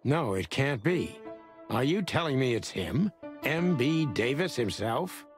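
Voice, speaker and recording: An actor voicing a world-weary, brooding noir detective in a video game, with a dry, sardonic manner. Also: male voice, mid-range voice, big pitch swings, measured pace. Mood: disbelieving. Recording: music behind the voice.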